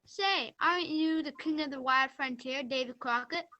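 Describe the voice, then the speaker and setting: A sly, folksy raccoon voiced by a woman, full of country exclamations. The pitch is high and the tone bright, on a dull, lo-fi recording. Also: normal speed. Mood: sly, flattering. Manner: exclaiming, country-style talk. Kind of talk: readers theater for children.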